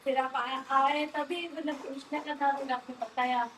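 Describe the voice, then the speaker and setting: A woman chatting casually in halting, hesitant phrases. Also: sits high, flat delivery, a bit bright. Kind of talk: casual chat. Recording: faint ambient background noise.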